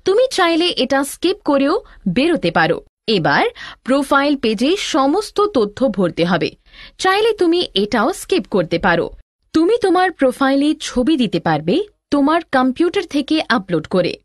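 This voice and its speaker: Woman as helpful big sis in a learning cartoon, scripted tutorial, high lilting voice, dry sound. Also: sing-song, normal speed, dry recording. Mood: instructive. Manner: instructive, reassuring. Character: helpful elder sister teaching in an educational cartoon. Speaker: tutorial narrator and teacher. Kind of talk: scripted instructional narration.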